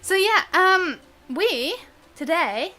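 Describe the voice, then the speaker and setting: A woman chatting casually and bubbly as she hosts a live game stream, quick to giggle. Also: extremely high pitch, lively intonation, bright, forceful tone, faint music behind. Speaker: live stream gamer and host.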